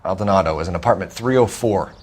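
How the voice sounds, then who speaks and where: An actor playing an earnest, by-the-book American police detective in a 1940s crime video game, speaking in a clipped, serious way. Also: male voice, low-pitched, fast talker. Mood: stern.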